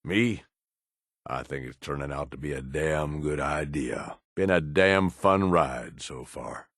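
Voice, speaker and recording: Deep-voiced raider. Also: male voice, takes it slowly, vocal fry, fairly bright tone, no room sound, studio quality, exaggerated voice. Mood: fond, earnest. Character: gruff roguish raider henchman, cynical but loyal. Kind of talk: video game companion dialogue.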